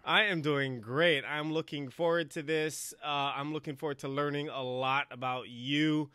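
A man's voice: on the high side, fairly even intonation, normal speed, bright, forceful tone, smooth, no echo, studio quality. A man from Hawaii hosting a podcast, chatting casually and cheerfully with his guest over a headset. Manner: friendly, laughing, chatty. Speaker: podcast host. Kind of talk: casual interview chat.

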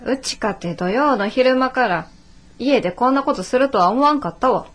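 An actress playing a brisk, nagging daughter in her twenties, blunt and scolding with a Kansai accent, in a radio drama. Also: high-pitched, expressive. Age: 20s. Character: brisk, nagging 24-year-old daughter of a shopkeeper.

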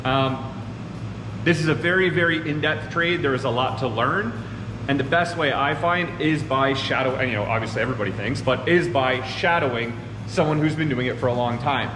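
A man's voice: medium pitch, normal speed. Man talking in an echoey machine shop. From Ontario, Canada. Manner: earnest, talks with his hands, addresses viewers as guys. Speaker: machine shop owner and show host. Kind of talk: casual advice talk to camera. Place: large open machine shop floor, indoors. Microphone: far camera on a tripod. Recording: roomy echoey shop with long reverb and air conditioning hum.

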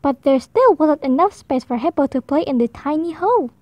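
A woman reads a children's picture book aloud in a high, bouncy voice, taking her time over every line. The sound is dry and close, with no room behind her. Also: no echo, dull audio. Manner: slow, expressive, high voices for animal lines. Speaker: storybook reader. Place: indoors at a table, book held to camera.

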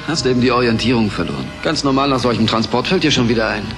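An actor playing a seasoned imprisoned computer program in a German radio play, explaining things dryly. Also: male voice, mid-range voice, expressive, fast, over music, close to an everyday voice. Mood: matter-of-fact.

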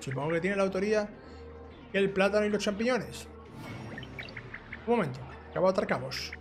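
A young man with a Spain accent plays through a video game and comments as he goes. His voice sits high for a man. He is informal and chatty, switching between asides and reading dialogue aloud, with faint music behind him. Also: lively intonation, a little fast. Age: young adult. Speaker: gaming YouTuber.

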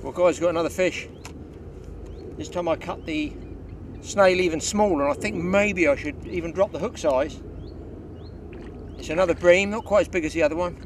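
A man's voice: sits high, normal speed, pauses often, slight background noise. A man, hosting a fishing show outdoors at a lakeside and chatting instructively to viewers. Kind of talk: casual outdoor fishing commentary.

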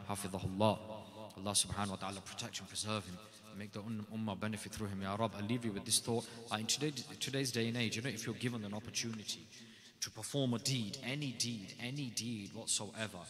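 A man speaking at a religious gathering, delivering an emphatic devotional address that stacks short, repeated phrases before introducing the scholars. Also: fairly low pitch. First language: not Urdu. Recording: long hall reverb.

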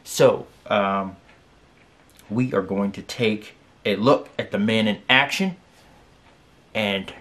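A man in his thirties talking casually as a music reviewer, often pausing mid-sentence, recorded in a bedroom on a camera at arm's length. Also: on the low side, fairly bright tone. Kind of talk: casual reaction video talk. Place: small furnished bedroom. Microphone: camera at about arm's length.

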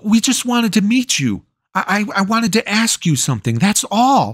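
A man's voice: high voice, big pitch swings, moderate pace, breathy edge, dry recording, studio quality, voice pushed up, exaggerated voice. A man doing a frantic pleading boy. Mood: nervous. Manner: pleading, stammering. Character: frightened desperate young boy.

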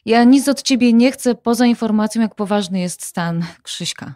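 An actress playing a desperate, irritated wife confronting a former lover in a radio drama, curt and exasperated. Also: female voice, on the high side, moderate pace, warm tone, no room sound, studio quality, natural voice.

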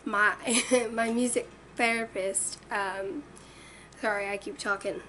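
A teenage girl with a raspy voice chatting earnestly to camera in her bedroom, with lots of ums. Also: mid-range voice, fairly even intonation, slow pace, pushed and bright. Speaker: vlogger. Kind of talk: casual chat to camera. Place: small bedroom indoors. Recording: distant webcam audio with noise.

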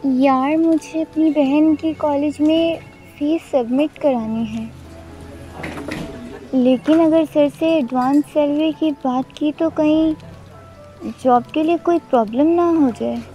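An actress playing a shy young woman, new at her office job, who speaks in hesitant, halting phrases. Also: sits high, dark tone, soft background music, rough recording. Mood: worried.

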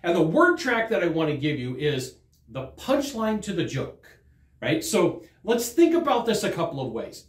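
A middle-aged man teaching sales technique straight to camera, emphatic and gesturing as he talks. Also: a little high. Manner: animated, emphatic, gesturing while talking. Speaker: sales trainer. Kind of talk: direct-to-camera sales lesson. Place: studio in front of a green screen. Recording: roomy studio echo.

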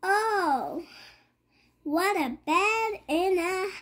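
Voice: very high voice, expressive, drawn-out words, a bit bright. A child reading a picture book aloud. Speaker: child reader.

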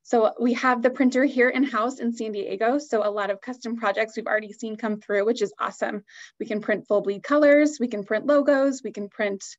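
A woman's voice: a little high, steady tone, a little fast, highs cut off. A woman giving an upbeat, enthusiastic product presentation on a video call.

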